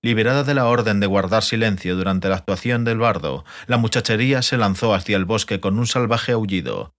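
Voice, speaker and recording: A man's voice: fairly low pitch, brisk, fairly bright tone. A man narrating a fantasy audiobook in a scripted literary style.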